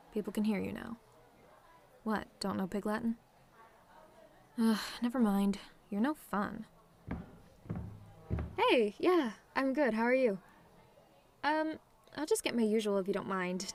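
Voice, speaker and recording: A woman's voice: fairly high pitch, darkish tone, a little hiss or hum, lightly acted. Sardonic tomboy demon girl, acted by a woman. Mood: friendly, casual. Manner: sarcastic, casual, taunting. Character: sardonic tomboy female demon, relaxed, teasing, secretly fond of simple pleasures. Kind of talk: scripted roleplay monologue to a listener.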